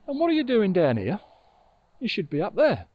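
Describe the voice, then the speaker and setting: A man voicing a dependable, sceptical male badger in a told story, plain-spoken and a little halting. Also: sits high, wildly swooping pitch, no echo, muffled recording, pitched above the performer's own voice, heavily acted.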